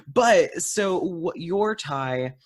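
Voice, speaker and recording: A man's voice: high voice, lively intonation, extremely slow. A man hosting a podcast interview as a casual chat, speaking in an informal, playful way. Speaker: podcast host.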